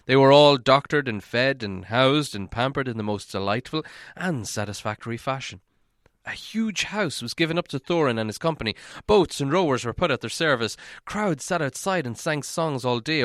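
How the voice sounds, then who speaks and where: A man's voice: mid-range voice, fairly bright tone, no echo. Man reading a storybook, dry close sound. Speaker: audiobook reader. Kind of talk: expressive scripted storybook narration.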